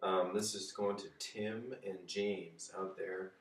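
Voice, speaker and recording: A man in his forties, hoarse from a cold, casually giving golf swing tips while demonstrating at home. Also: deep voice, steady tone, takes it slowly, a little hiss or hum. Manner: easygoing, demonstrating swing positions while talking. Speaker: golf coach. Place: home kitchen and dining room, indoors. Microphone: far camera. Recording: echoey room, voice from a distance.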